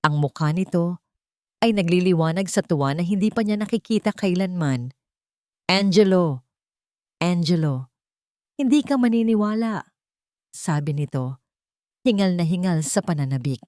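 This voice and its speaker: A woman narrates a dramatic love story as a scripted audiobook. Her voice sits fairly low, and the sound is close and dry, with little room around it. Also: normal speed, a little mellow, no echo.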